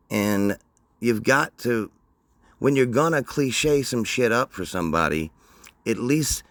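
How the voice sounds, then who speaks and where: A man's voice: medium pitch, a bit bright, no echo. A man hosting a commentary show, mocking the clips he plays with dry sarcasm. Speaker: commentary host.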